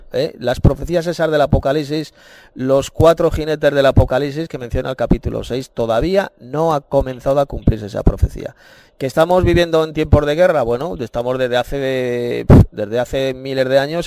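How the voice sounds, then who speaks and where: A man with a Spain Spanish accent hosting an online Bible chat room, talking in an unhurried way, often repeating his phrases and calling listeners brothers. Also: mid-range voice, a little mellow, no echo. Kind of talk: casual preaching and closing remarks.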